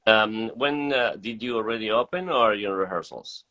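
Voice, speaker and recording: A man's voice: lowish voice, brisk, pushed and bright, low-quality recording. A man hosting a casual interview, often hesitating and rephrasing as he asks his questions. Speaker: interview host, festival artistic director.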